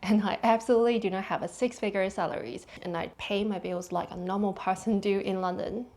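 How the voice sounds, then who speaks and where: Woman, 30s, Hong Kong accent, mid-pitched, casual vlog delivery, chatty. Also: steady tone, fairly quick, vocal fry, a little mellow. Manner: chatty, laughs lightly between points. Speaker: personal finance vlogger. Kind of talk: casual talk to camera. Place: London.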